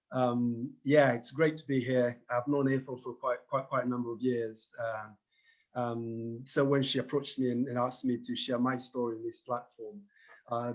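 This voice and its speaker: A middle-aged man from Tanzania, a panel guest telling his own story earnestly, halting and repeating words, over a video call. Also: mid-range voice, low-quality recording. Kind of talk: personal testimony on a panel.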